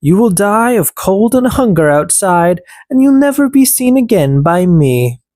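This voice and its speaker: A cruel storybook stepmother , acted out by an audiobook reader, bossy and scornful as she gives orders. The delivery is animated, with a slightly raised pitch and a dry, close sound. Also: unhurried, no room sound. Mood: cruel, threatening. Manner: commanding, scornful.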